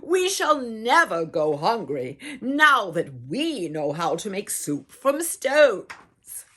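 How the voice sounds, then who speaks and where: A woman's voice: medium pitch, very animated, slow pace, a bit bright, slightly rough, heavily acted. A woman voicing eager, obliging village peasants in a storybook, earnest and emphatic, full of awe. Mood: grateful, admiring.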